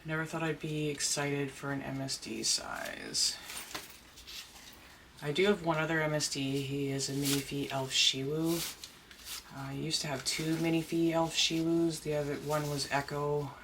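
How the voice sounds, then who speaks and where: Deep-voiced chatty doll collector, noisy recording. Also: moderate pace. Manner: chatty, rambling, gushes with excitement. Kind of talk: casual unboxing chat.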